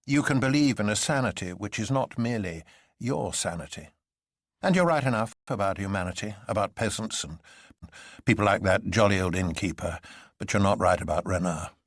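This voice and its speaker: A man's voice: fairly low pitch, expressive, brisk, no room sound, clean studio sound. A man voicing a bold idealist who is earnest and a little sardonic in a story.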